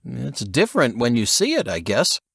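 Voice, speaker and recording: A man's voice: mid-range voice, huge pitch swings, normal speed, gravelly, a bit bright, slightly rough, no echo, studio quality, pitched above the performer's own voice, exaggerated voice. A man voicing a young, easily awed and eager agent in a thriller audiobook. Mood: subdued, shaken.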